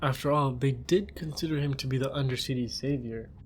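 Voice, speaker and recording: A man's voice: medium pitch, fairly even intonation, brisk, slight background noise. A man co-narrating an atmospheric scripted fiction podcast.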